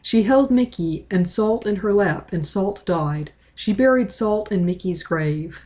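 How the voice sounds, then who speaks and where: A woman narrating a scripted story as an amateur reader in a deadpan manner. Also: mid-range voice, unhurried.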